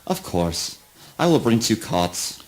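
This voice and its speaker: A man playing an enigmatic, fatherly oracle in a spoken word play, deliberate and probing. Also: lowish voice, very animated. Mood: cryptic, assured. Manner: cryptic, deliberate questioning.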